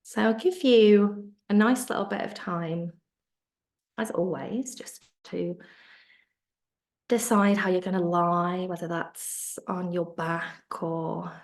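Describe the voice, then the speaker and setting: A woman leads a guided relaxation in an unhurried, gently lowered voice. Her tone is soft and soothing, with a gravelly fry under the words, and at times she drifts into a whisper. It sounds like it was picked up over a video call. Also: fairly even intonation. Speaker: yoga nidra teacher.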